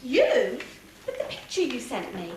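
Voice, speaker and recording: Woman playing a nice young prince in panto, high animated voice, echoey noisy hall, distant mic. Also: measured pace. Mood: earnest. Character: cheerful, modest young prince posing as farm boy. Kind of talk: pantomime stage acting. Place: theatre stage.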